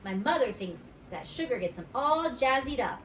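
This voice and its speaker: Bubbly storybook schoolgirl, acted by a woman: high squealy pitch, boastful, nosy, echoey room. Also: normal speed, a little hiss or hum. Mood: suspicious, nosy. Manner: squealy, childish, excitable. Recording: roomy echo, distant mic.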